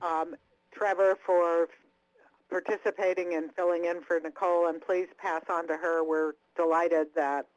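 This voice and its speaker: A woman speaking on a webinar panel, giving careful, measured remarks with small self-corrections. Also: lowish voice, level tone, no echo, highs cut off.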